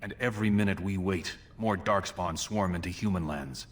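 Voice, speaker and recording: Man voicing a low, commanding dwarf prince. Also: normal speed, close to an everyday voice. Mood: measured, grave. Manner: formal, resolute, commanding. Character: ambitious, authoritative dwarf prince seeking the throne. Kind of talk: video game character dialogue.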